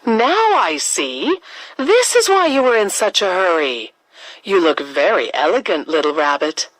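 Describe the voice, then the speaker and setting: A woman's voice: on the low side, big pitch swings, a little slow, no room sound, poor audio. A woman voicing a curious, eager and polite little girl in a children's storybook. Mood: admiring.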